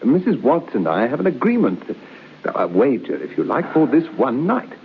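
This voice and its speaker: An actor playing a confident, sceptical gentleman in a radio horror drama, urbane, dry and breezy. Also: medium pitch, sing-song, moderate pace. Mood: politely impatient. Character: confident, sceptical gentleman taking a haunted-room wager. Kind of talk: old-time radio horror drama acting. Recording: muffled, narrow, noisy old radio recording.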